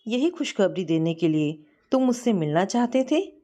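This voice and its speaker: A woman's voice: medium pitch, big pitch swings, normal speed, dark tone, lightly acted. A woman voicing an anxious, pleading young woman in love in an audio storybook. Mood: hurt, angry.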